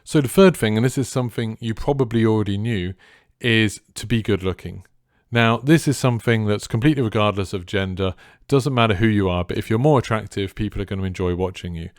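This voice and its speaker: A man with a deep, clear voice, giving casual advice to viewers as a streamer in a chilled, laid-back way. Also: a little fast, dry recording, clean studio sound.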